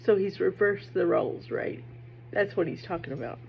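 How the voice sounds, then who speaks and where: A woman giving informal literary commentary in an emphatic, opinionated and somewhat halting way. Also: medium pitch, lively intonation, gravelly, a little hiss or hum. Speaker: reader and commentator. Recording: dull, muffled lo-fi recording.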